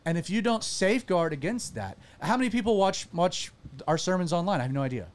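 A man who pastors and hosts a talk show, chatting casually with a panel, animated and self-deprecating, often restarting his sentences. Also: high voice, fairly quick. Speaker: pastor and podcast host.

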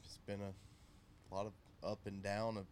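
Laid-back man in his thirties, halting. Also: fairly low pitch, little pitch movement, a little mellow, a little hiss or hum. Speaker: guest. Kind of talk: casual chat.

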